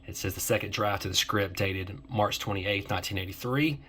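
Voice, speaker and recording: A man hosting an informal explainer commentary, halting as he repeats and restarts his phrases, with music behind him. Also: on the low side, pushed and bright, slight background noise. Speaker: host of an eighties film nostalgia series.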